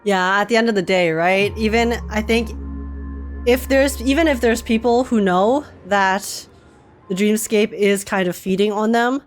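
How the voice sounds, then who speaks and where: A woman's voice: mid-range voice, a little slow, faint music behind. Chatty woman streamer, casual and slangy. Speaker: streamer reacting to a game. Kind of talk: casual live reaction commentary.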